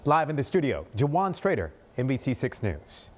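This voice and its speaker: A man's voice: mid-range voice, very animated, moderate pace. A man with an American accent giving a live news report from a TV studio.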